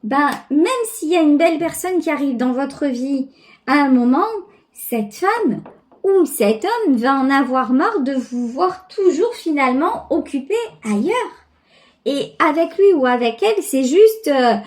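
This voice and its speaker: High-pitched, slow-paced woman reading tarot. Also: lively intonation, darkish tone. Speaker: tarot reader, channel host. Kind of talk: intuitive tarot reading, spoken directly to the viewer.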